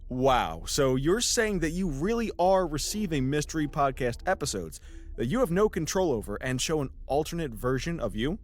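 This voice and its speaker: An actor playing a skeptical, teasing podcast host who is sarcastic and probing, in a natural chatty audio drama style. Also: male voice, fairly high pitch, sing-song, moderate pace. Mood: incredulous.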